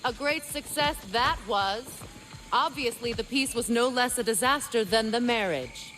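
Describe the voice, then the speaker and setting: An actress playing a proud, stern goddess in a video game, speaking in formal, clipped, imperious phrases. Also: female voice, a little high, measured pace, a bit bright, soft background music, rough recording. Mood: bitter, dry. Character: proud, stern, commanding middle-aged goddess. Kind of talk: video game character acting.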